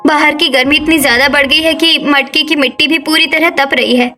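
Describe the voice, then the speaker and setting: A woman's voice: high-pitched, fairly even intonation, fairly quick, pushed and bright, faint music behind. A woman voicing a harried young village daughter-in-law in a cartoon, plaintive and scolding.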